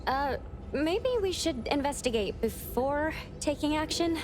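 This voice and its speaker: A woman's voice: sits high, unhurried, noisy background. A woman playing a level-headed, cautious young companion in an anime-style video game, speaking in a composed, polite, practical way. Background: game music.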